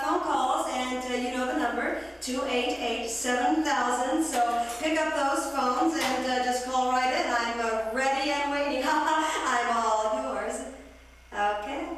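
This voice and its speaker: Distant hall recording, needy playful female radio host, high voice, slow halting delivery, theatrical radio drama. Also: a bit bright. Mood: playful, coy. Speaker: performance artist. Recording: distant echoey hall, faint noise.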